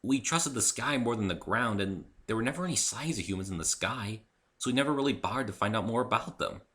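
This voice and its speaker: A man's voice: lowish voice, lively intonation, fast, pushed and bright, pitched above the performer's own voice. A man voicing a brash, sporty, boyish female cartoon pegasus with a blunt, cocky manner in a story reading. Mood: wary, relenting.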